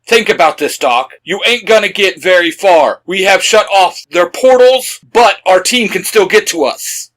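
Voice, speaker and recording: A man's voice: high-pitched, animated, normal speed, few pauses, a bit bright, pitched above the performer's own voice, rough recording. A man voicing a stern, urgent superhero leader who barks his lines in a fan fiction story. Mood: firm. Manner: barking orders.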